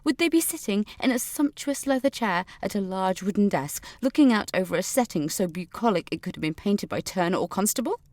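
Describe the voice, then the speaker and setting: A woman's voice: medium pitch, expressive, brisk, hardly any pauses, darkish tone, no room sound, natural voice. An actress playing a haughty, defensive upper-class woman delivering a pompous, precisely worded monologue in an audio drama. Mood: indignant, haughty. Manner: posh, pompous, precise formal diction. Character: haughty, defensive upper-class adult alumna named Katrina. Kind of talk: dramatic monologue in a fiction podcast.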